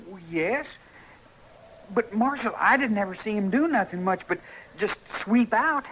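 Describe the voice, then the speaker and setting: An old, slightly noisy radio western has a runaway frontier boy with a rural American accent. The pitch is extremely high and jumps around animatedly. He is defiant and scared, folksy and quick to defend himself. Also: lively intonation, fairly bright tone. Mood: sheepish, defensive. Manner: folksy, earnest, stammering when cornered.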